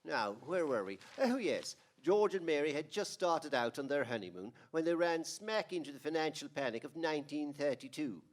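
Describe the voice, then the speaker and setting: A man playing an unhurried, commanding senior angel in heaven who narrates in old-time radio style. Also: on the high side, big pitch swings. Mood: firm, reflective.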